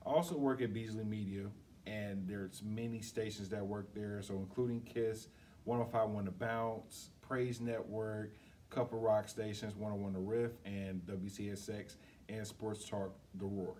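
A distant recording with room noise carries a middle-aged American man's voice. He is fairly low in pitch and speaks casually, introducing himself to the camera. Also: fairly even intonation, a little slow, warm tone. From Detroit, United States. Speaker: guest reader introducing himself, radio station employee. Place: school library, seated indoors. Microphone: camera several feet away. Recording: distant mic, noisy room ambience.

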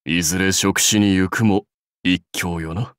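Slow, stately samurai warlord. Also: male voice, deep voice, steady tone, a bit bright, clean tone, dry recording, studio quality. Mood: grave, advising. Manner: stately, measured, archaic lordly speech. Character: proud, seasoned male samurai warlord, wry and commanding. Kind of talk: scripted game character lines.

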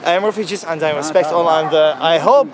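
Young male, Iraqi accent, high voice, halting repetitive English, outdoor interview with people chattering around him. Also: a crowd in the background. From Iraq. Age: young adult. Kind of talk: unscripted street interview. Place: outdoors at night in a city square. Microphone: handheld camera at arm's length. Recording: crowded outdoor street on handheld camera.

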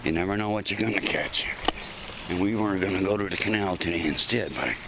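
A man filming himself fishing from a riverbank and talking casually about his catch, recorded on a camera mic outdoors with loud background noise. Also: low voice, a little fast. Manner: excited, exclaiming over a big fish. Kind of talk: casual fishing vlog talk. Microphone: camera mic from a distance. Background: outdoor ambience, birds.

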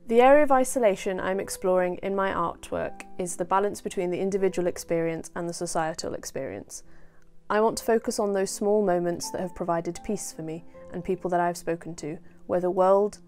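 A woman's voice: lowish voice, brisk, a little mellow, faint music behind. A woman artist reading a thoughtful statement about her work. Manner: thoughtful, read aloud.

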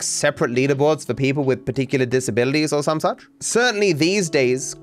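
A man in his thirties, a streamer who rambles frankly through chat comments, swearing casually, at a studio mic in a dark room. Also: fairly high pitch. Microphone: studio mic on boom arm, headphones.

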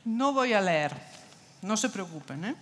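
A woman's voice: mid-range voice, lively intonation, unhurried, slight background noise. Middle-aged italian lady lecturing at a desk, lots of ums, big hall echo. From Italy. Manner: hesitant, many fillers, searching for words. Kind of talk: conference lecture with slides. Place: large dark auditorium.

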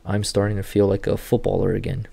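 A man in his thirties, a native Portuguese speaker, who works with rehab athletes and calmly walks viewers through training clips, recorded indoors on a webcam. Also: on the low side, fast, gravelly. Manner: steady, instructive walk-through of clips. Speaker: sports rehab clinician. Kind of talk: explanatory video commentary. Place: indoor room.

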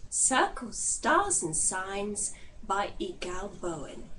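A woman in her thirties reading poetry aloud in a deliberate, expressive way with dramatic pauses, recorded in a living room. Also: medium pitch, low-quality recording. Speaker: poetry reader. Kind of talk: poetry recitation. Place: living room, seated in armchair. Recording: clear background room noise.

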